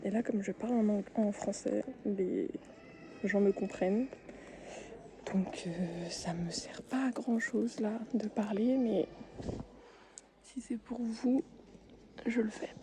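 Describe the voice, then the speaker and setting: A young woman speaking softly and often whispering while tidying shelves in a supermarket aisle, with frequent hesitations. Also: mid-range voice, takes it slowly, pauses often, background noise. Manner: soft-spoken, drifting into whispers, many hesitations. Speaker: ASMR creator. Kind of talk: soft-spoken ASMR while tidying shelves. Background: faint store ambience.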